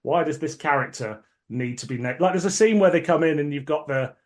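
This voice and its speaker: A man hosting a podcast in casual chat, explaining things in a measured, conversational way. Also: a little high, darkish tone. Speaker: podcast host.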